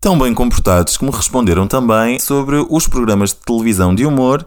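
Smooth-voiced Portuguese man hosting a chat. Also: mid-range voice, a little fast. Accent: European Portuguese. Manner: relaxed, conversational, asks co-host questions. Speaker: podcast host. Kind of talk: casual radio chat.

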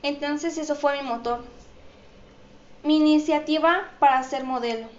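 A woman's voice: high voice, measured pace. A woman with a Mexican accent, answering audience questions as an interview guest. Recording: dull, echoey room with faint noise.

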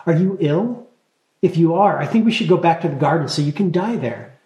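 A man's voice: on the high side, lively intonation, fairly quick, darkish tone, reverberant, natural voice. Man doing a blunt, chattering old gnome girl. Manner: blunt, morbid, hasty chatter. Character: bossy 263-year-old female gnome girl.

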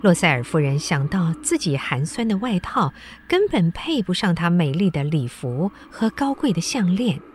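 A woman narrating a radio drama in an expressive, scripted storytelling style. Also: lowish voice, moderate pace, few pauses, slight background noise.

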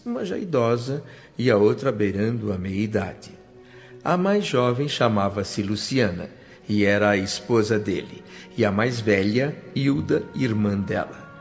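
Music plays under a slightly muffled recording of an earnest Brazilian man in a radio serial. He tells his own story with measured, formal reverence, as a grateful newcomer full of wonder. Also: fairly low pitch. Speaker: narrator and protagonist. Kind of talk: dramatized radio serial narration.

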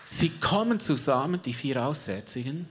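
A preacher giving a lively sermon, telling a story theatrically and joking with a live audience. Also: mid-range voice, moderate pace, soft background music. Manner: theatrical storyteller, rallies the crowd; chatty asides, joking with the audience. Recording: long reverb of a big hall.

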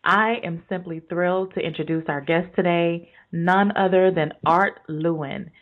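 A woman hosting a business radio interview, with an energetic, promotional delivery. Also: fairly low pitch, unhurried, phone-quality sound. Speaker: podcast host.

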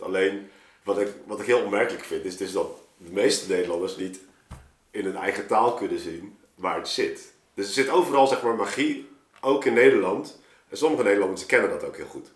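Low-voiced man thinking aloud, roomy sound. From Suriname. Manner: rambling, thinking aloud, frequent 'zeg maar' asides. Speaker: podcast guest, artist and storyteller. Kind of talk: casual podcast talk, explaining his creative process. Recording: roomy, echoing room sound.

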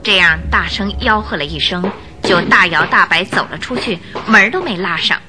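A woman's voice: lowish voice, normal speed, music in the background. A woman narrating a radio drama in a dramatic, scripted storytelling style.

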